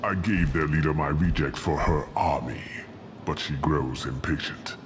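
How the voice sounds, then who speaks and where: An old alien warlord scientist with a deep voice, imperious and disdainful. His delivery is pompous and theatrical, in the manner of a sci-fi game villain, over clearly noisy ambience. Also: moderate pace. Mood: cold, imperious. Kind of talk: video game voice acting. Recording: loud ambient noise behind.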